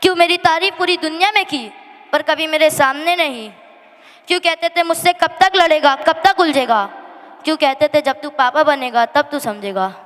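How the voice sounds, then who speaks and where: Female monologue performer, high-pitched, emotional and plaintive stage recitation, long hall reverberation. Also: a bit bright. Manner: emotional, plaintive, emphatic delivery with drawn-out refrains. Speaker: monologue performer at a school annual day. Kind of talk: dramatic Hindi poetic monologue recitation. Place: school annual day stage in a hall.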